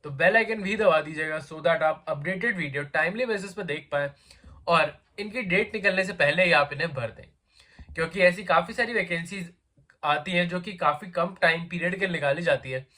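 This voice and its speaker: Host, male, slightly raised pitch, sore throat, chatty explainer, loose Hindi-English mix. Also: moderate pace, sharp tone. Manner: chatty, repetitive fillers, conversational address to viewers. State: sore throat, coughing now and then. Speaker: job vacancy channel host.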